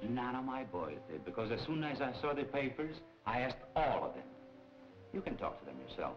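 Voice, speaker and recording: A middle-aged actor playing a smooth, evasive nightclub boss with deliberate, menacing phrasing in a 1940s crime film. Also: male voice, medium pitch, very animated, natural voice. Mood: guarded, evasive. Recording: dull 1940s film soundtrack, loud music behind.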